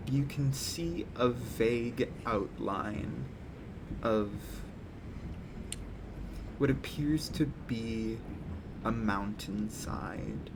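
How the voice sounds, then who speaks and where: A man narrating as game master of a tabletop game, describing scenes with thoughtful pauses. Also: medium pitch, noisy background. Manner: slow, thoughtful, pauses with ums while describing.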